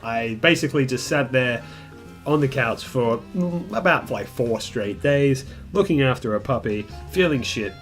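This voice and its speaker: A young man telling a personal story in a casual, rambling, candid way, with mild swearing and self-deprecation. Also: medium pitch. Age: young adult. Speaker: gaming video creator telling a personal story. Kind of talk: casual storytime chat over gameplay. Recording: music behind the voice. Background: calm guitar music.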